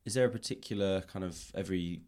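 A man's voice: sits low, quick pace, a little mellow. A man hosting a casual interview, feeling his way through questions in tentative, rambling phrases. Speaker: podcast host, interviewer. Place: indoors, upper floor of a public library.

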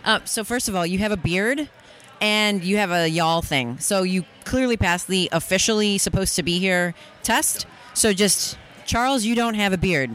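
A woman's voice: medium pitch, fairly bright tone. A woman guest in a casual in-person interview, bubbly and enthusiastic, recorded on a busy expo floor. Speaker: guest, fitness workshop presenter. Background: crowd ambience.

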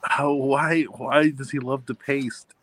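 A man's voice: medium pitch, steady tone, slow, a bit bright. A man on a podcast panel, chatting casually in short reactions.

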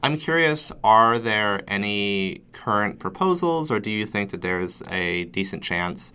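A man's voice: fairly low pitch, expressive, a little slow, sharp tone, smooth. A man hosting a podcast, asking questions in a hesitant, inquisitive way. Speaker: podcast host.

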